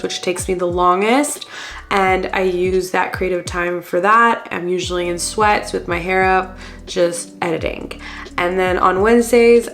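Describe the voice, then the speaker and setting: A woman's voice: mid-range voice, fairly bright tone. A woman in her thirties, slightly congested after a cold, chatting in a friendly, encouraging way to her vlog viewers. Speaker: vlogger. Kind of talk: casual lifestyle vlog talk.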